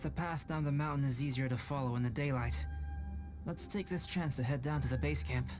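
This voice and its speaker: A man voicing a calm, reserved young male scholar in an anime-style game, composed and understated. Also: medium pitch, a little fast, soft background music, natural voice.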